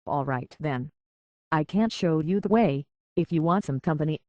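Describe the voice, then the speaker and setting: An actor voices a plainspoken survivor of a rough harbor town for a video game. The delivery is terse, guarded and folksy. The sound is dry and slightly dull. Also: medium pitch, normal speed, darkish tone, no echo, close to an everyday voice. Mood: businesslike, resolute. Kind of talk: video game character acting.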